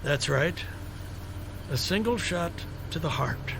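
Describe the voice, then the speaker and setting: An actor playing a courteous, composed doctor in a radio drama, speaking in a polite, measured way. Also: male voice, fairly high pitch, animated, background noise, exaggerated voice. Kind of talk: naturalistic radio drama acting. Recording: clear music under the voice.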